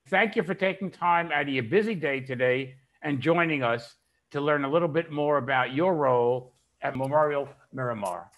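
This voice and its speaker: Older male interviewer, slightly raised pitch, putting questions on a video call with mildly lo-fi sound. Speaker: interviewer, trade news publisher. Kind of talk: scripted introduction and interview questions. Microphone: wired earbuds headset.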